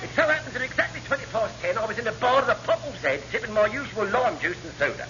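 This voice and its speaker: A crafty chief petty officer from a vintage radio sitcom. He tells his tales glibly and with mock innocence, in a high, very lively voice. The recording is dull and noisy. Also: fast. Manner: glib, mock-innocent storytelling. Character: wily chief petty officer with endless dubious alibis. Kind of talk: vintage radio comedy acting.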